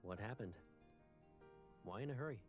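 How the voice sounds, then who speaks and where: An actor playing a composed, attentive military officer and love interest in a video game. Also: male voice, lowish voice, lively intonation, normal speed, lightly acted. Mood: calm, attentive. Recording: background music under the voice.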